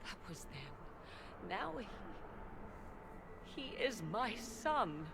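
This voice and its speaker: A woman's voice: mid-range voice, sing-song, drawn-out words, background noise, heavily acted. A woman playing a proud, defiant sorceress and protective mother in a video game, haughty and emphatic. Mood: grave, protective. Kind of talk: dramatic video game acting.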